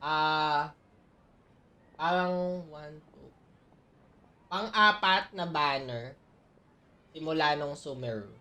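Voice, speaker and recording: A woman's voice: low voice, slow, pauses often, sharp tone. A woman with a Filipino accent chatting casually as a game livestreamer, switching between languages and greeting viewers. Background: game music.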